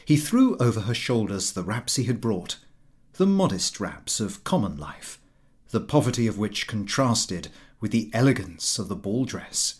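Male narrator, literary story reading, unhurried, dramatic, lively intonation. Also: fairly low pitch, slightly rough. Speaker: audiobook narrator. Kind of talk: expressive scripted story narration.

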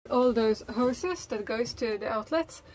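Higher-voiced woman vlogging. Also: unhurried, a little mellow, dry recording, rough recording. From Sweden. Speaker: sailing vlogger. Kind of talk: casual explanatory chat to camera. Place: small sailboat, in cabin and covered cockpit, and outdoors at a marina.

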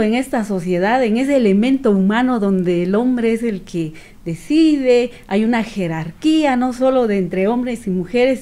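Higher-voiced, earnest Guatemalan woman. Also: warm tone. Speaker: activist guest. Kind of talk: reflective radio interview answer. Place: small radio studio with several people. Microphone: studio microphone on stand.

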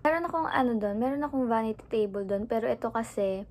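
A woman's voice: a little high, very fast. A young woman from the Philippines with a Filipino accent, chatting casually in a home vlog and mixing Tagalog with English. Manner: chatty, switching between Tagalog and English mid-sentence. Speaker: vlogger. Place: apartment at home.

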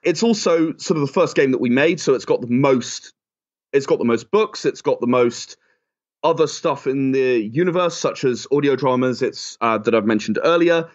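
A man's voice: medium pitch, normal speed. A man giving chatty, enthusiastic, emphatic answers as a guest in a conversational interview. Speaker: interview guest, game creator. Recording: dull, lo-fi audio.

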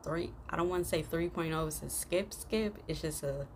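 A young woman host giving casual, slangy, halting reaction commentary that often repeats itself. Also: low-pitched, darkish tone. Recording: noisy room recording.

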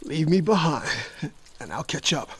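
An actor playing a gruff, self-doubting older survivor, wounded and weary, in an audio drama, with a husky, gravelly voice and a halting, strained delivery. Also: male voice, on the high side, very animated. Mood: pained, pleading. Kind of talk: post-apocalyptic audio drama acting.

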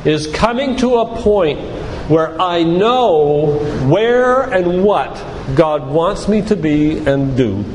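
High-pitched older man preaching slowly. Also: animated, darkish tone. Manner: deliberate, emphatic teaching with repeated phrases. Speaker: physician giving a church lecture. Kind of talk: sermon-like lecture quoting scripture. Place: live talk in a church hall. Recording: reverberant church hall, background hiss.

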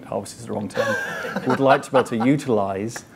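A man's voice: mid-range voice, gravelly, a little hiss or hum. A man speaking as a panelist in a conference panel discussion, eagerly jumping in with his point. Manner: eager, interjecting, rapid. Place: conference stage, indoors.

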